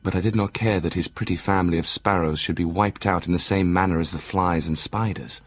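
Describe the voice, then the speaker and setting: A man playing a brooding Victorian asylum doctor, narrating his journal in a measured, formal way for an audio drama. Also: low-pitched, steady tone. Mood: measured, observant. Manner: measured, formal, literary delivery.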